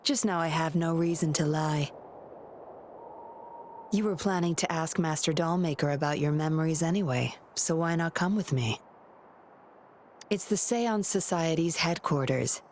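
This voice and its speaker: A woman playing a confident, commanding young woman in a video game, cool, measured and teasing. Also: low voice, a little mellow, a little hiss or hum.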